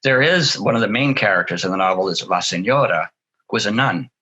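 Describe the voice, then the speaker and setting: A man being interviewed as a translator, talking in a relaxed, chatty way, often saying "you know" and laughing easily. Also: lowish voice, a little fast, slightly rough, poor audio.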